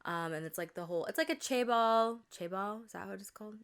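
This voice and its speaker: A woman's voice: medium pitch, a little fast, a bit bright, smooth. A young woman hosting a casual podcast, chatty and rambling with lots of fillers and self-corrections, her voice a little congested and tired. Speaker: podcast host. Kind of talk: casual chat.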